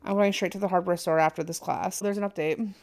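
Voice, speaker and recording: A woman's voice: fairly low pitch, steady tone, fast talker, darkish tone. A woman co-hosting a casual podcast, reading an online post aloud with little asides and stumbles. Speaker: podcast co-host.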